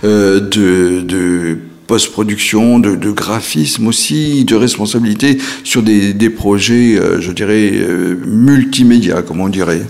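A man hosting an interview who talks haltingly, with many restarts and fillers. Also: on the low side, vocal fry, darkish tone. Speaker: host. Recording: long reverb.

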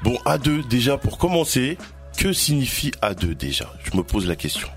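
A man hosting a casual interview, enthusiastic and full of quick affirmations. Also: medium pitch, big pitch swings, faint music behind. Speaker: host.

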